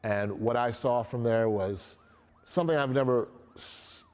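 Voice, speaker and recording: A man's voice: mid-range voice, measured pace, darkish tone, clean tone. A middle-aged American man speaking candidly in an interview, in plain words and often repeating phrases. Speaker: interviewee, dog trainer and former police officer.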